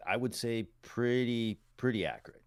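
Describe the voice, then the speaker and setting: A man's voice: medium pitch, moderate pace, smooth, no room sound. A middle-aged man from Calgary, a musician guest, telling stories in a folksy, plain-spoken way. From Calgary, Alberta, Canada. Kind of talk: casual interview storytelling.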